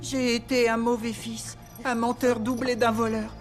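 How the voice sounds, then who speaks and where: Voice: mid-range voice, flat delivery, normal speed, over music, natural voice. An actor playing a naive, earnest and stubborn young boy hero in a French cartoon fairy tale. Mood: remorseful.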